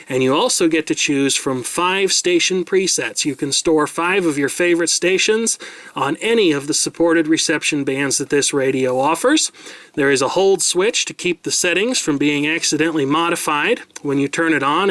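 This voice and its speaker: Man, middle-aged, American, fairly high voice, dry close sound; precise, wordy radio reviewer. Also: a bit bright, no echo. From United States. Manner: wordy, precise, self-mocking asides. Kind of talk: product review narration. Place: desk in a home room.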